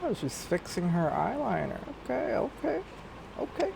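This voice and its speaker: Gravelly man muttering through a game. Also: high voice, big pitch swings, normal speed, background noise. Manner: muttering to himself, repeating words. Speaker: gamer. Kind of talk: casual gameplay commentary. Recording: loud game music behind.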